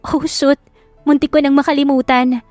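A woman with a Filipino accent voicing a feisty, expressive young wife in a romance audiobook, exclamatory and dramatic. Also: high voice, moderate pace, dry recording, voice pushed up, lightly acted. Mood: startled. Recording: music behind the voice.

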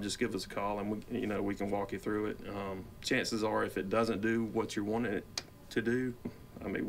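A man in his forties talks through a product in a conversational, slightly hesitant way. His voice sits a little low and barely varies in pitch. It is recorded indoors with clear room noise behind him. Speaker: company product expert. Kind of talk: informal product walkthrough. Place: indoor office workbench.

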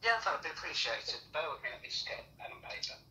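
A man with an English accent talks politely and matter-of-factly in a casual conversation, heard through a phone loudspeaker. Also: fairly high pitch, expressive, quick pace. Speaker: call recipient, stamp buyer. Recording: distant, noisy, echoey speakerphone.

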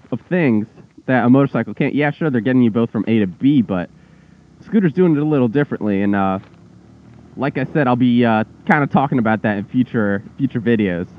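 A man's voice: medium pitch, a little hiss or hum. Young man rambling on a lo-fi helmet mic. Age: young adult. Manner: relaxed, rambling, frequent fillers. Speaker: motovlogger. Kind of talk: casual vlog narration while riding. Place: on a scooter on a suburban road outdoors.

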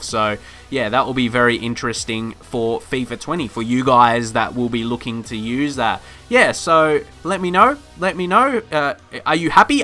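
A man with a bright, forward voice talks casually over a gaming news video, rambling from point to point with plenty of fillers and restarts. Faint music plays behind him. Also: medium pitch. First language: not Dutch. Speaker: gaming YouTuber.

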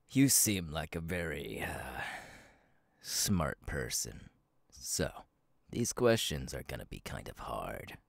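A man playing a menacing, taunting masked slasher killer in an ASMR roleplay, gravelly and partly whispered, speaking slowly and deliberately close to the mic. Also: very deep voice, no room sound, full character voice. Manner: slow, deliberate, taunting, drawn-out pauses. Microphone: close microphone.

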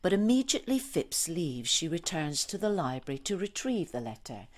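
A woman narrates a radio play from a script. Her voice is notably low, and her arch storytelling moves with lively intonation. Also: moderate pace.

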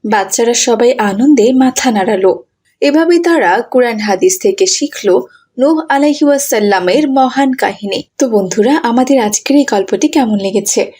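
A woman's voice: a little high, animated, normal speed, darkish tone, slightly rough. A woman narrator reading a scripted story for children.

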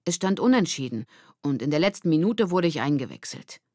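A woman's voice: low-pitched, very animated, brisk, dark tone, dry recording, clean studio sound. A woman voicing a casual boy confiding in a friend in a children's audiobook. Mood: confiding, amused. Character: boy confiding embarrassing sports story to friend.

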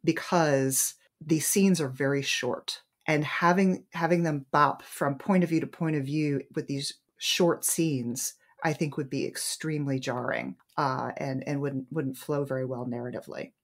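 A woman's voice: low voice, fairly even intonation, a little slow, hardly any pauses. A woman author in a casual interview, talking thoughtfully and restarting her phrases as she goes. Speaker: romance author, guest. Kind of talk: conversational interview.

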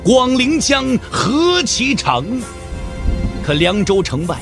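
A man's voice: very high voice, big pitch swings, slow, creaky, over music. A man giving dramatic scripted narration for an audio drama, theatrical and emphatic.

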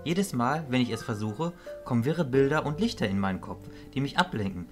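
A captive mage voiced by a man in a fantasy audio drama. He speaks at a middling pitch in dry, articulate and somewhat curt phrases, with light music underneath. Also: animated, a little fast, lightly acted. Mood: pondering. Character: captive male mage, dry, indignant, well-spoken.

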